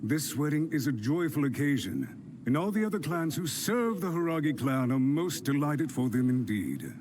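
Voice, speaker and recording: An actor playing a formal, polite retainer of a noble house in a game. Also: male voice, a little high, sing-song, moderate pace, darkish tone, slight background noise. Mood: courteous. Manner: formal, polite, eager to please. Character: deferential male clan retainer, formal. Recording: game audio with music underneath. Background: game music.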